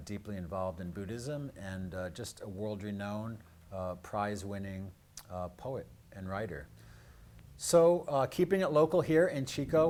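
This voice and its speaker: A man's voice: fairly low pitch, a little mellow, a little hiss or hum. A man hosting a live community show in a conversational, hesitant, rambling way. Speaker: radio show host.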